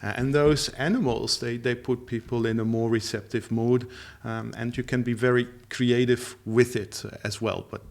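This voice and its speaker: A man's voice: mid-range voice, steady tone, moderate pace, creaky. A middle-aged man from the Netherlands with a Dutch accent, a language teacher telling his story in an interview, chatty with frequent laughs and fillers. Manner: laughs often, many fillers and restarts, chatty. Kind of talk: interview guest, casual storytelling.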